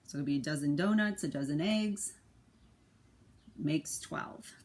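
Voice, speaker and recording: A woman's voice: deep voice, measured pace, a little mellow, slight background noise. A woman speaking as a school librarian, giving simple, casual explanations of a picture book for children. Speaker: school librarian reading aloud.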